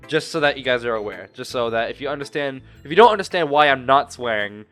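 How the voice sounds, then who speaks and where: A man's voice: mid-range voice, lively intonation, fast talker, a bit bright. A man giving casual let's play commentary, rambling and often rephrasing as he loses his thread. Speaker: gaming video host. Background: music.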